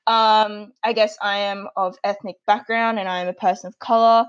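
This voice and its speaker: A young Australian woman hosting a podcast, upbeat and quick to giggle. Her pitch sits in the middle. The sound is a little dull and lo-fi. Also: steady tone, clean tone. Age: young adult. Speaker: podcast host. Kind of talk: casual podcast chat. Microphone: remote call audio.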